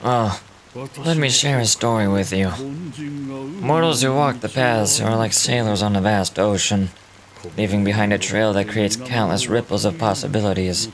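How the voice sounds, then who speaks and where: Man voicing a low, weary old guide of souls. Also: normal speed, crowd noise, lightly acted, low-quality recording. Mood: solemn storytelling. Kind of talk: dramatic read-aloud of game dialogue.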